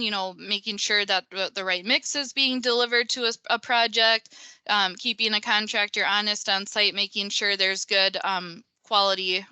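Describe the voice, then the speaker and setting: A woman's voice: mid-range voice, pushed and bright, clean tone, no echo. A woman in her thirties with a Minnesota accent, presenting over a video call in a conversational way, with many ums and restarts. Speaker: pavement engineer presenter. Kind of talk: webinar presentation.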